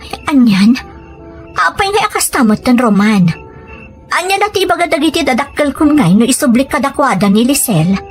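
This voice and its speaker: A woman with an Ilocano accent playing a gentle, pleading wife and mother in a serial drama, speaking in a plaintive, appealing way. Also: high voice, very animated, moderate pace, soft background music. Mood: dismayed, pleading. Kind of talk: radio-style serial drama acting.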